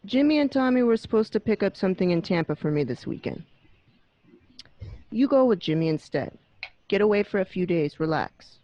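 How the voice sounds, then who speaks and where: A man's voice: high-pitched, sing-song, fairly quick, no room sound. A man playing a controlled older mob boss giving firm orders in a radio play, on a dull, dry call.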